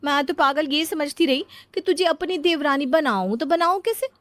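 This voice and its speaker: A woman's voice: sits high, lively intonation, normal speed, a bit bright, no echo, voice pushed up. A woman voicing a chatty young daughter-in-law in a cartoon story, coaxing and teasing. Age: young adult. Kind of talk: acted cartoon story dialogue.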